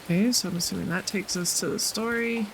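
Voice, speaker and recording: A woman's voice: mid-range voice, fairly quick, slight background noise, low-quality recording. A woman hosting a gaming channel, giving casual commentary and reading on-screen text aloud as she plays. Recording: loud music behind the voice. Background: music.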